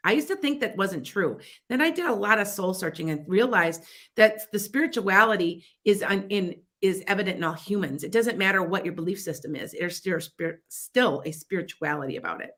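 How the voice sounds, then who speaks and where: Bright-voiced chatty woman with a Chicago accent. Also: mid-range voice, animated, fairly quick. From Wisconsin near the Illinois border. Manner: rambling and chatty, with frequent restarts and asides. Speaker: psychic radio show host. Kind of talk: casual advice talk on internet radio.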